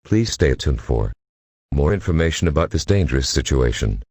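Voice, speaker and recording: A man's voice: very deep voice, sing-song, normal speed, darkish tone, slightly rough, dry recording, studio quality. A synthetic-sounding man reading a scripted emergency alert broadcast as the announcer.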